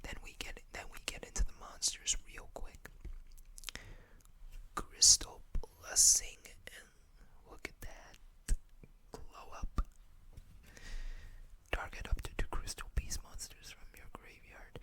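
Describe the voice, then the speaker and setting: An ASMR host speaking in a breathy, unvoiced whisper close to the microphone, unhurriedly reading text aloud with casual asides. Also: slow pace, long pauses, sharp tone.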